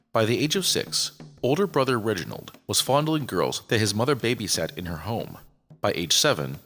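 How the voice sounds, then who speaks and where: A man's voice: on the low side, fairly bright tone. A man reading a scripted true-crime story as a podcast narrator.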